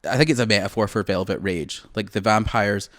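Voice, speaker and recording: A man's voice: lowish voice, brisk, a bit bright, no echo, clean studio sound. A Scottish man in his late twenties, co-hosting a podcast in casual, rambling, self-deprecating chat. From Scotland. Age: late 20s. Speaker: podcast co-host.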